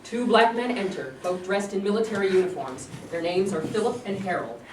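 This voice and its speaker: A woman reading stage directions aloud at a staged play reading, plain and measured. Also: mid-range voice, darkish tone. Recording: echoey room, distant mic, background noise.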